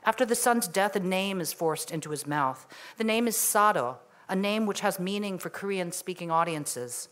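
A woman in her forties giving a poetry reading on a museum stage, speaking in a measured, deliberate way into a stand microphone. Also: medium pitch, animated, fairly bright tone. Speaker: poet. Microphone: microphone on a stand at a lectern.

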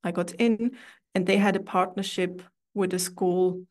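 A young woman from Austria, an English speaker, telling her story in a relaxed, chatty way as an interview guest over a video call from a room at home. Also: on the low side, unhurried. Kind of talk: casual interview chat.

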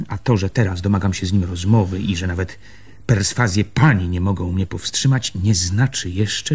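A stubborn land surveyor in a radio drama. His voice is fairly low and husky, and he speaks earnestly, now and then hesitating and repeating himself. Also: a little mellow. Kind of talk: Polish radio play acting.

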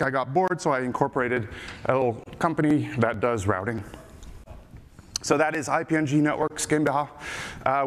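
Dutch man with American-sounding accent, hall reverb. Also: mid-range voice. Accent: American-sounding English. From Netherlands. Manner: casual, joking, many filler uh's and restarts. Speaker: conference speaker. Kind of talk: technical conference talk. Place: large conference stage. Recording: reverberant hall with faint background noise.

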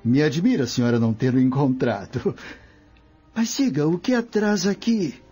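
A man's voice: fairly high pitch, lively intonation, normal speed, warm tone, slightly rough, faint music behind. A man playing a courteous, effusive older Roman nobleman in a theatrical radio drama. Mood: surprised courtesy. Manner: theatrical, exclaiming.

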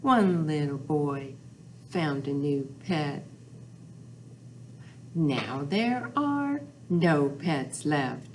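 Older woman leading storytime, very slow and lively. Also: deep voice, a little hiss or hum. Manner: simple, repetitive, sing-song address to young children. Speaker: children's librarian, storytime host. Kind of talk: children's storytime with rhymes, counting and songs. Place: small indoor room with whiteboard.

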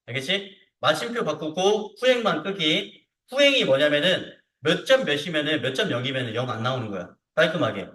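A man teaching software step by step in an informal way, often checking in with short tag questions. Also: a little high, sing-song, a little fast, hardly any pauses. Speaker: CAD instructor. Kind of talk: hands-on software tutorial to students. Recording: roomy echo, distant mic.

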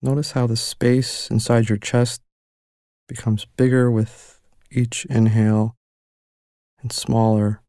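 A man leading a guided mindfulness meditation with slow, gentle and soothing instructions. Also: medium pitch, level tone, no room sound, studio quality.

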